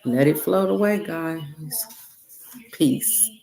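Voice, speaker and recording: A woman reading a guided meditation in a deliberate way, breaking her phrases with long pauses. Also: deep voice, expressive, creaky, dark tone, rough recording. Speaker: meditation and self-help reader.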